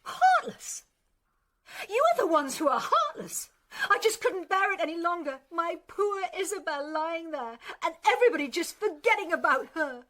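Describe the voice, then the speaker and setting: A vengeful, pious woman in a radio play. Her voice is extremely high and piercingly bright. She sounds overwrought, bitter and self-righteous. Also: sing-song, moderate pace, exaggerated voice. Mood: indignant. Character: bitter, vengeful, pious unmarried sister, fervent and wounded. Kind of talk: British radio drama acting.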